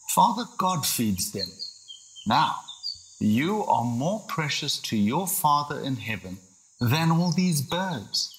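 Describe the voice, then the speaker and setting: A man reading a storybook as a patient, reassuring father, gently explaining things with faint exasperation. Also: mid-range voice, a little hiss or hum, poor audio.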